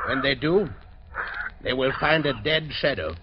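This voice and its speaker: An actor playing a cold-blooded, urbane doctor villain in an old-time radio drama, speaking with measured, polite menace and deliberate hesitations. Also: male voice, medium pitch, close to an everyday voice. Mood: gloating threat. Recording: dull, noisy old radio recording.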